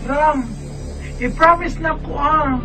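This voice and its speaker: Radio drama friend: actress as reluctant young woman, high voice, very unhurried and careful, music prominent behind. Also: fairly even intonation, bright, forceful tone, full character voice. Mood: hesitant, worried. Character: hesitant young woman friend reluctantly breaking painful news.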